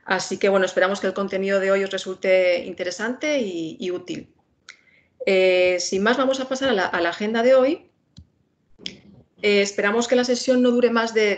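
A woman's voice: mid-range voice, normal speed. A woman with a Spain Spanish accent moderating an online webinar in a friendly manner, with many filler sounds. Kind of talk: webinar introduction.

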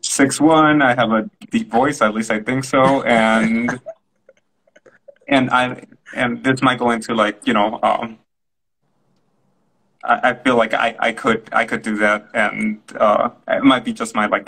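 A man's voice: medium pitch, level tone, unhurried, vocal fry, fairly bright tone, breathy edge, poor audio. A man speaking on a video call panel, hesitant and reflective, often searching for his words. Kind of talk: casual panel discussion. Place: room at home, indoors.

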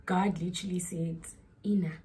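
A woman's voice: on the low side, steady tone, normal speed, very noisy. A young woman, chatting to her phone camera in a small dorm room in a rambling, self-correcting way. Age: young adult. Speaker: student vlogger. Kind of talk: casual vlog chat to camera. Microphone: phone camera at arm's length.

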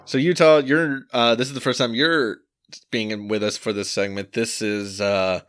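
A man hosting a film podcast in casual chat, laid-back and quick to laugh, steering the talk into each segment. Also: mid-range voice, steady tone, fairly bright tone, soft background music. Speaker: podcast host.

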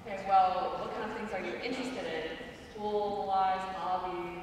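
A woman's voice: mid-range voice, steady tone, moderate pace, slight background noise, close to an everyday voice. A young woman on a school stage playing a patient but weary college admissions interviewer. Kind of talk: stage play performance. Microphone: far audience camera. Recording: echoey hall, far from camera.

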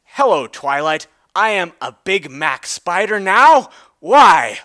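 A man voicing a big, gentle, simple-minded male spider in an earnest, eager way for a storybook reading. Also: sits high, big pitch swings, slow, voice pushed up, full character voice.

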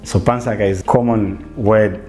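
A Zambian man in his forties, a theatre facilitator, talking reflectively in a relaxed interview in a bright living room, with restarts and "you know". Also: on the low side, moderate pace, soft background music.

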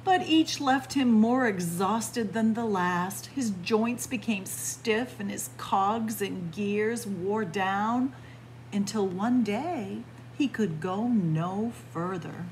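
A woman reading a picture book aloud as a library story time host, expressive and pausing deliberately between phrases. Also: mid-range voice, slight background noise, rough recording. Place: indoors in a library, among bookshelves.

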